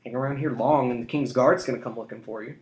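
A man voicing a cocky, defiant young boy who speaks quietly and self-assured, in a roomy room. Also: mid-range voice, quick pace, lightly acted. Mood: cocky calm. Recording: roomy echo.

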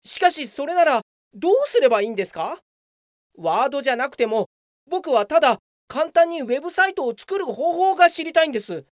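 A woman's voice: sits high, big pitch swings, brisk, dry recording, studio quality. A woman playing a self-justifying, grumbling male office worker in a comedic radio drama. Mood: puzzled, insistent. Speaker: voice actor. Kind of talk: radio comedy drama acting with inner monologue.

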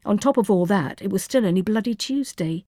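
A woman's voice: mid-range voice, expressive, brisk, dark tone, dry recording. Woman narrating a novel, very dry studio sound. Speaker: audiobook narrator. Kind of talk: scripted fiction narration.

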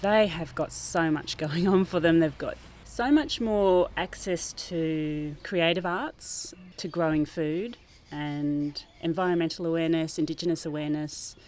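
A woman's voice: deep voice, a little slow, few pauses, darkish tone. A woman who works as a garden teacher, explaining thoughtfully and earnestly in an informal interview outdoors. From New Zealand. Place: outdoors, under a gazebo in a school garden.

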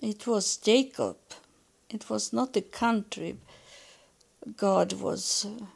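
A woman from Sweden with a Swedish accent, speaking English as a second language in a halting spiritual podcast monologue, searching for words. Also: mid-range voice, gravelly, slightly rough. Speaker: podcast host relaying spiritual messages.

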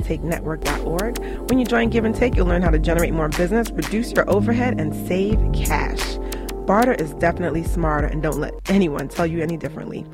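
A woman reading a scripted radio advertisement in an emphatic, promotional manner. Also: fairly low pitch.

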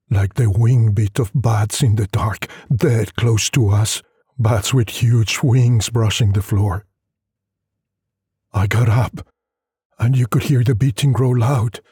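Slow, husky male storyteller. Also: medium pitch, dark tone, no room sound, studio quality. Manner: measured, solemn storytelling. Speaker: audiobook narrator. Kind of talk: literary story narration, first-person.

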